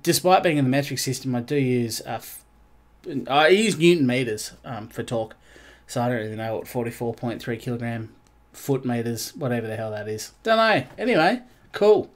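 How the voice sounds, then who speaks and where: A man's voice: mid-range voice, moderate pace. Chatty congested man streaming. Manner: chatty, rambling, greets chat viewers. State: congested, nasal. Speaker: game streamer. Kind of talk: casual live-stream commentary.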